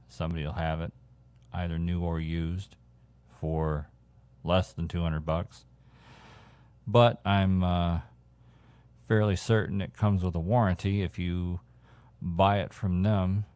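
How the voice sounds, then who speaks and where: A man hosting a casual radio hobby chat, thinking aloud in a halting way. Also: low voice, dry recording. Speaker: radio hobbyist host showing viewers CB radio gear.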